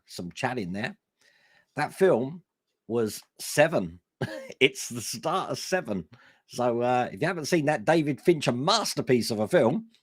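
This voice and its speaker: Hoarse man chatting live. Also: medium pitch, no echo, clean studio sound. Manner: chatty, enthusiastic, rambling with fillers. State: hoarse, voice going. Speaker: live stream host. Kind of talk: casual live stream chat.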